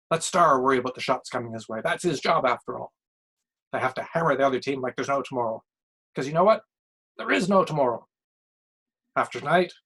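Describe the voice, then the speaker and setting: A man's voice: medium pitch, a bit bright, breathy edge. Man acting blustery ex-coach hockey commentator, emphatic. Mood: urgent, ranting. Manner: ranting, emphatic, slangy short sentences. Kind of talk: acted sports commentary in a short story reading.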